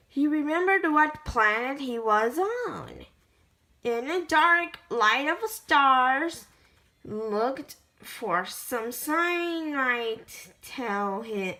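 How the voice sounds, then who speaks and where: A woman with a high, bright voice reads a children's storybook aloud. She goes very slowly and haltingly, often stumbling over the words as an amateur reader does. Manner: halting, stumbling word-by-word reading. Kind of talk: children's picture book read-aloud.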